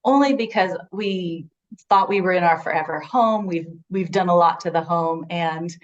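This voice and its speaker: A woman being interviewed as a guest author, answering casually and slowly with some hesitation, over a remote connection. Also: on the low side. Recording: dull lo-fi call audio.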